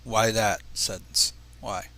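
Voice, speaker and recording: A man's voice: lowish voice, fairly even intonation, slow pace, bright, forceful tone. A man reading fan fiction aloud on a livestream, narrating expressively and dropping into casual asides. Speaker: livestream reader.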